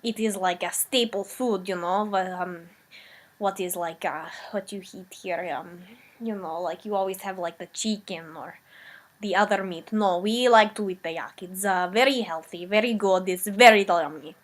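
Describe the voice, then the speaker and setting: A woman playing a rambling immigrant woman from the old country, talking in broken English with a meandering, deadpan comic manner. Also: medium pitch, normal speed, natural voice. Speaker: comedic character. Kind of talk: improvised comic character monologue.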